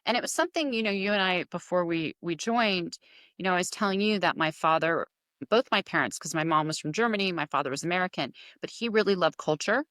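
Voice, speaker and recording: A woman hosts a podcast interview in a slightly low voice. She asks questions in an enthusiastic, rambling way. The recording is close and dry. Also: fast talker, a bit bright, no room sound. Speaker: podcast host. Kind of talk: conversational interview.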